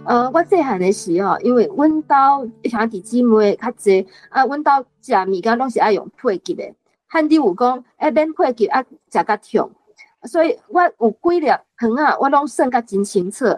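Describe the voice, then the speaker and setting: A woman's voice: a little high, lively intonation, moderate pace, a little mellow, poor audio. A woman in her late fifties with a Taiwanese accent, discussing a story as a guest and sharing personal anecdotes. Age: late 50s. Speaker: guest panelist.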